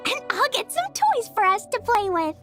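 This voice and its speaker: Little witch girl for anime game: piercingly high, sweet, playful, swooping delivery. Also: sing-song, moderate pace, over music. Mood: bubbly, welcoming. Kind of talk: anime-style video game character acting.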